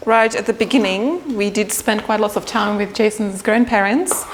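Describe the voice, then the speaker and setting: A woman's voice: medium pitch, normal speed, slight background noise, poor audio. A woman in her thirties speaking in an interview at home, open and a little hesitant. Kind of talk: documentary interview. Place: indoors in a home.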